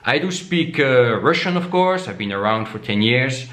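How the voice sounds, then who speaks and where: A man's voice: medium pitch, expressive, moderate pace, a bit bright. Dutch man chatting to camera, echoey room. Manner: repeats himself and tags "okay?" often, many "uh" fillers. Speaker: vlogger. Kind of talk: casual talk to camera.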